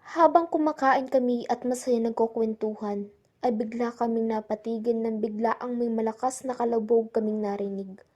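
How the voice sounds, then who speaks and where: A woman's voice: on the high side, steady tone, normal speed, mellow, muffled recording, lightly acted. A young woman playing the narrator who recounts a frightening island trip in a scripted radio drama. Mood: uneasy storytelling. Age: young adult.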